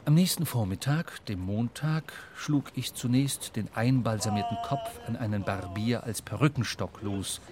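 A man playing a reflective seafarer who narrates his adventure in measured, expressive literary German audio drama style. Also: fairly low pitch, lightly acted. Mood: matter-of-fact. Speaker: first-person narrator. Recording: clear ambient noise behind voice.

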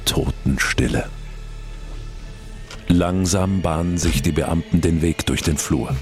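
Deep-voiced man narrating dramatic true crime. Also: slow, darkish tone, soft background music. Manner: suspenseful, acts out short quoted calls. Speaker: story narrator.

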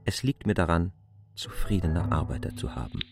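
Male radio-play narrator, very low and gravelly, reading literary prose. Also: expressive, normal speed, soft background music.

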